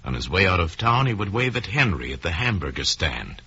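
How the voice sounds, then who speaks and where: A man narrating a crime story in old-time radio style, deliberate and suspenseful, with dramatic pauses. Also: low voice, big pitch swings, bright, forceful tone, husky. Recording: dull, lo-fi old radio recording.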